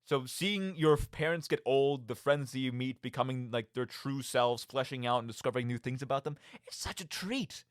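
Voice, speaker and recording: A man's voice: mid-range voice, normal speed, few pauses, no echo. A man hosting a radio monologue about video games, speaking theatrically with rhetorical questions and direct asides to his listeners. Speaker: podcast host.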